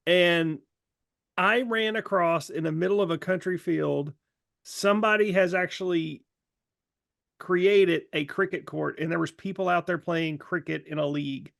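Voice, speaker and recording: Middle-aged male podcast co-host, gravelly fry on a fairly high voice, casual comic chat. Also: unhurried, a bit bright, no room sound, studio quality.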